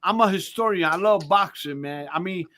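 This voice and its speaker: A man who calls himself a boxing historian, talking excitedly in a group chat, stammering and swearing freely. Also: high voice, moderate pace, slight background noise.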